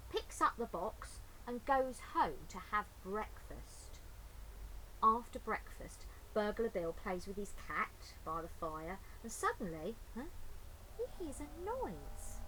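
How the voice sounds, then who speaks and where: A woman's voice: a little high, very animated, slow, a bit bright, poor audio. A woman speaking informally and expressively as a storyteller for children, recorded inside a greenhouse. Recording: clear ambient background noise.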